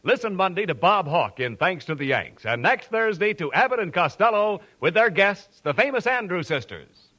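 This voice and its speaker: A man's voice: high voice, sing-song, moderate pace, few pauses, sharp tone, highs cut off. A man reading polished, upbeat commercial announcements on a 1940s radio show. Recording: 1940s radio broadcast with music.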